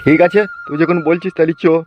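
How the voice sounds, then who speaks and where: A man's voice: fairly high pitch, steady tone, quick pace, dull audio, soft background music, close to an everyday voice. A man voicing a cautious, worried homeless uncle in a comedy cartoon. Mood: hesitant. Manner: hesitant, cautionary. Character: cautious, worrying uncle, homeless desert wanderer.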